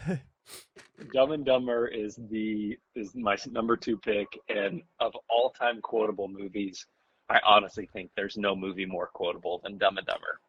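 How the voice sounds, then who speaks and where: A man's voice: on the low side, steady tone, normal speed, a bit bright, husky, muffled recording. A man with an American accent chatting casually as a panelist on a podcast. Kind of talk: casual movie chat among friends.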